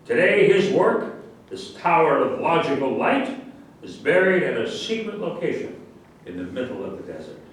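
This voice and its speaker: Heard from far off in a very echoey room, a man playing a pompous, easily offended general holds forth in a staged play reading. His emphatic lecturing swings widely in pitch, with grand self-importance. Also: big pitch swings, moderate pace. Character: pompous, indignant male general lecturing pseudo-scientific nonsense. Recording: distant echoey room with faint rumble.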